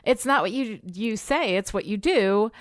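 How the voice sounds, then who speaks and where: A woman's voice: mid-range voice, expressive, moderate pace, dry recording. Emphatic woman co-hosting, close dry sound. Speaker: podcast co-host. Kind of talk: casual film and TV discussion.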